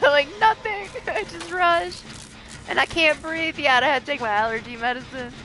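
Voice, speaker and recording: A woman, middle-aged, with a raspy voice, chatting casually and freely with viewers as she streams a video game. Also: sits high, slight background noise, poor audio. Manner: animated, chatty, quick to laugh, swears freely. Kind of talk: casual chat with stream viewers while gaming.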